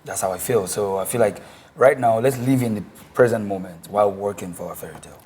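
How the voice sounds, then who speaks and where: A man's voice: on the low side, a little mellow, husky. A man, a guest musician telling stories earnestly in a casual panel chat. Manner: quick, earnest storytelling.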